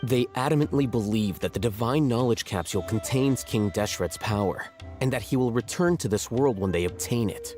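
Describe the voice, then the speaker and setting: An actor playing an aloof, rational young male scholar in a video game, speaking in a measured, articulate, matter-of-fact way. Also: mid-range voice, close to an everyday voice. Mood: composed, matter-of-fact. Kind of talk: video game voice acting, expository dialogue. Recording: music behind the voice.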